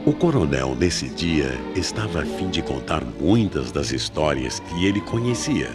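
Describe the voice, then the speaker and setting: Very deep Brazilian male radio narrator. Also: big pitch swings, measured pace. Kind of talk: dramatic scripted mystery radio narration. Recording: music behind narration. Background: music.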